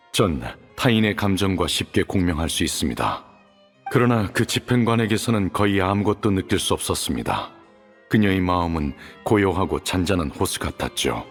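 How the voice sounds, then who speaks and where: A man voicing a calm, dignified male judge in a video game, speaking in a polite, grave, formal way. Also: low voice, husky, full character voice. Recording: reverberant game audio with music.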